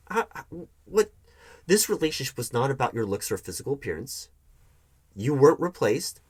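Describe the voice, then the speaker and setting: A man playing a defensive, evasive hiring manager arguing with his ex in a one-sided audio roleplay, halting and repeating his words. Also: on the high side, voice pushed up. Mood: defensive, indignant. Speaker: roleplay performer.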